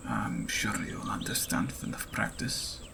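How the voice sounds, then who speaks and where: A man voicing a calm, gentle father who reassures patiently in an audiobook story. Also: fairly low pitch, a little slow, vocal fry, very noisy, exaggerated voice. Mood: patient reassurance. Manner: gentle, hushed. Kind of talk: audiobook character voice.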